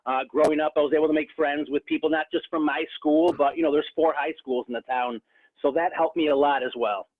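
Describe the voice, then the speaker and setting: A real estate agent from the Rochester, New York area, talking earnestly in a casual interview, with many restarts. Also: male voice, a little high, steady tone, a little fast. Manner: earnest, frequent restarts and fillers. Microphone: phone. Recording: tinny phone line.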